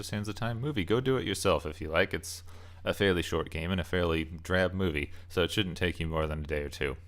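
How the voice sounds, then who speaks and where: Low-voiced man giving a casual opinion rant. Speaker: video game channel host and commentator. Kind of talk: casual opinion rant about game movies.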